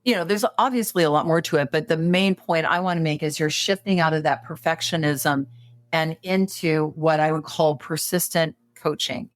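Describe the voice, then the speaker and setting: A woman's voice: deep voice, normal speed, no room sound. A woman in her late fifties, a podcast guest giving coaching advice in a conversational way, full of fillers and restarts. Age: 50s. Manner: animated, many fillers and restarts.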